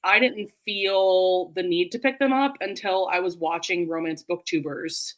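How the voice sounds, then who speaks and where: Casting: woman host for a casual group livestream, slightly low voice, chatty and emphatic delivery. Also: a little slow, a bit bright. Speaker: host of a livestream book chat. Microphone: video call livestream.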